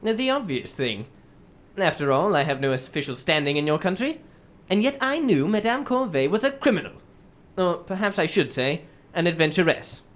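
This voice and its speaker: A woman plays a shrewd English gentleman detective in a radio drama. The voice is on the low side with very varied intonation, laying out the case in composed, formal sentences. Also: big pitch swings. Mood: composed, explanatory. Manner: formal, measured storytelling.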